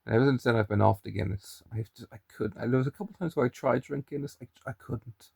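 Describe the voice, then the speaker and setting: A man's voice: mid-range voice, fast, gravelly, a little mellow, husky, dry recording, clean studio sound. A young man with a mild Irish accent, chatting and rambling to camera in a daily vlog, often restarting his sentences. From Ireland. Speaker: daily vlogger. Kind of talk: casual chat to camera.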